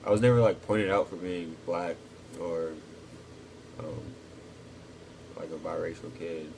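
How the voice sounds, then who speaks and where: A man in his twenties from Texas, answering interview questions casually and thoughtfully, pausing often with ums. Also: sits low, level tone, gravelly, a little mellow, a little hiss or hum, rough recording. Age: 20s. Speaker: interviewee, cadet.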